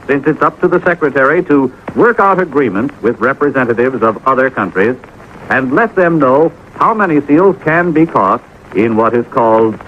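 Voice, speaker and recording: Old radio announcer guy, american, folksy, very animated pitch, muffled recording w slight hiss. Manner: folksy, explanatory. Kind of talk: 1950s radio public-service scripted talk. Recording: dull, faintly noisy old radio recording.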